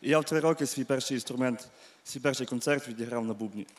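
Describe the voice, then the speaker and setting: Young male musician, mid pitch, casual storytelling, stage microphone. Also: fast talker, slight background noise. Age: young adult. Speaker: talent show contestant, musician. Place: TV studio stage. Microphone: handheld microphone held out to him.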